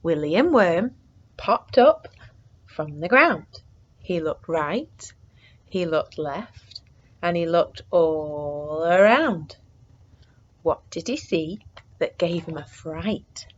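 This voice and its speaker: A woman with a fairly low voice reads a children's story at a very slow, deliberate pace. She is expressive, dropping into suspenseful hushes and lifting into excitement. The recording is slightly dull and homemade. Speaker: storyteller reading a picture book to children. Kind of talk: animated read-aloud for young children.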